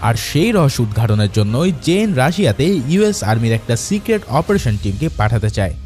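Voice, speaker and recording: Male storyteller, scripted dramatic narration, lively pitch movement, soft mellow tone, background music. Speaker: movie recap narrator.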